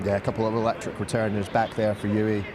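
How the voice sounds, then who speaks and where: A Scottish man giving relaxed, analytical colour commentary on a live football game. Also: lowish voice, fast, crowd noise. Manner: relaxed analytical chat, self-deprecating.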